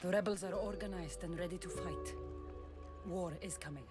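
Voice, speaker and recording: A woman's voice: fairly low pitch, normal speed, close to an everyday voice. An actress playing a tough, skeptical female mercenary in a video game cutscene, speaking in a blunt, dry, self-assured way. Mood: wary, warning. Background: music.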